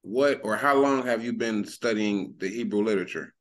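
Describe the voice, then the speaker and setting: A man's voice: on the low side, normal speed. A man with an Oklahoma accent hosting a casual podcast, easygoing and conversational, often saying "you know what I'm saying.". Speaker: podcast host.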